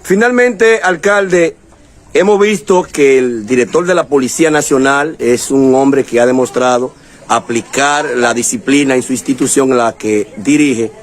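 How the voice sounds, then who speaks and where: A man's voice: mid-range voice, a little slow. A man reporting from the field and questioning local officials. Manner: leading questions, wrapping up reports. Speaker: news reporter. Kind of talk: field news interview.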